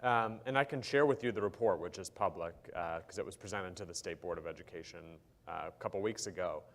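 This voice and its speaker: A man with a low-pitched voice speaks at a conference panel. He explains policy in a measured way, often pausing on ums and uhs. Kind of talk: panel discussion answer.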